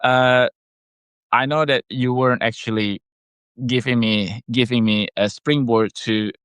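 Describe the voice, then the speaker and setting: A man whose first language is Indonesian, co-hosting a casual podcast chat and explaining things in a measured way with frequent pauses and fillers. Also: medium pitch, steady tone, fairly bright tone, clear, resonant tone, dry recording. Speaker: health product maker and podcast co-host.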